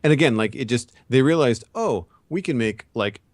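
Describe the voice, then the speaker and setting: A man's voice: mid-range voice, lively intonation, moderate pace, no echo, clean studio sound. A man co-hosting a casual pop-culture chat, talking in a rambling, opinionated way. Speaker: podcast co-host.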